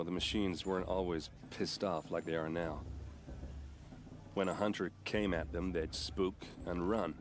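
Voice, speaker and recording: A man as a deep-voiced boastful warrior. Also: close to an everyday voice. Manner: chatty and boastful, easygoing. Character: boastful friendly male warrior from a steelworking tribe. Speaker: soldier in a king's vanguard. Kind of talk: video game cutscene dialogue. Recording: game audio with ambient noise.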